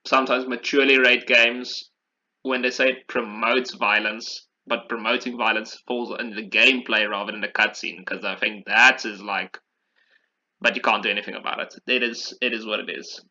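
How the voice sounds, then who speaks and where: A man's voice: lowish voice, fairly even intonation, moderate pace, bright, forceful tone, dull audio. A man hosting a podcast, talking casually and with opinions about video games, often repeating phrases for emphasis. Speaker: podcast host.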